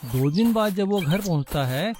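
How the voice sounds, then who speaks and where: A man's voice: high voice, expressive, normal speed. A man reading scripted narration for a moral story. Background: music.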